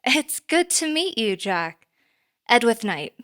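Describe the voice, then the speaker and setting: A businesslike woman manager in an audio drama. She has a slightly raised pitch and a poised, courteous manner. Her phrasing is formal and measured. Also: big pitch swings, fairly bright tone, heavily acted. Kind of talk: naturalistic audio drama acting.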